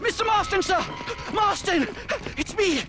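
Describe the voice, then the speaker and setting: An actor playing a nervous, cowardly and wordy scientist in an Old West video game, stammering and pompous. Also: male voice, extremely high pitch, animated, a little slow, very noisy, heavily acted. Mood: frantic relief. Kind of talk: comic video game acting.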